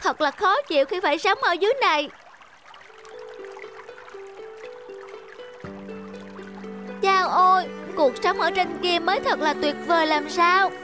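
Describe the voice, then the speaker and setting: A very high, girlish cartoon voice for a vain young rice plant. She is whiny and dramatic, forever complaining. Music plays behind her fairy-tale lines. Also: brisk. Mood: wistful, complaining.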